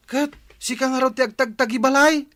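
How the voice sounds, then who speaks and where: A man's voice: extremely high pitch, fairly even intonation, a little fast, fairly bright tone, slight background noise. A man playing a grumbling, fault-finding village husband in a comedy radio drama, nagging and complaining. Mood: irritated.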